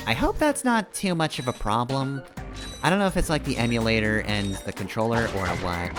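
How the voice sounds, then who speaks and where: A man's voice: mid-range voice, lively intonation, brisk. A man streaming a video game with casual, rambling commentary, analytical and wry. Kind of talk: casual live gameplay commentary. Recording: game music behind the voice. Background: video game music.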